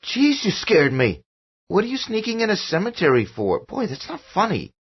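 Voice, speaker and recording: A man voicing a cheeky, excitable young boy who talks in a bouncy, high, exclamatory way. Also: moderate pace, breathy edge, heavily acted. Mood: excited. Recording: dull lo-fi audio.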